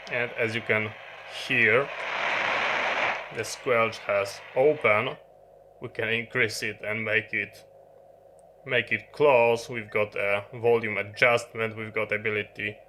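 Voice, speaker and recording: A man who is a non-native English speaker, giving a halting, unscripted hands-on explanation of a piece of gear as a video host. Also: on the low side, pushed and bright, slight background noise. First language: not English. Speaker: host of an electronics review channel. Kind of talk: unscripted hands-on product demonstration. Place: indoor electronics workbench.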